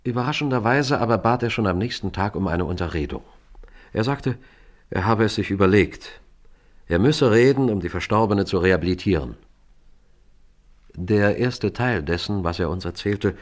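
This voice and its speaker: A man playing a composed detective who narrates and questions in a German radio crime play, measured and pointed. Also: low voice, animated. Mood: measured, grave. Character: composed, probing male detective narrating his case.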